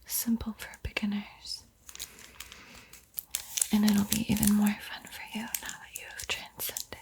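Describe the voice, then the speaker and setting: A woman whispering softly and breathily in a soothing, playful ASMR roleplay as she guides a painting tutorial. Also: medium pitch, completely flat delivery, vocal fry. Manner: slow, soothing, playfully teasing. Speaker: art tutorial host.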